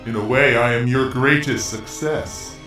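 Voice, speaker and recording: A man's voice: mid-range voice, measured pace, bright, forceful tone. A man acting an arrogant, menacing robot hedgehog villain in a fan audio drama, grandiose and commanding. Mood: cold, menacing. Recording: echoey, music underneath.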